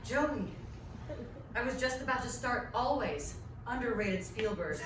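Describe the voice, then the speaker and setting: A woman's voice: a little high, animated, a little slow. A woman reading the part of a wounded, immature male film-buff dreamer in a comedy table read. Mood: eager, casual. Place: live reading in a performance room. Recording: echoey, noisy room, distant mic.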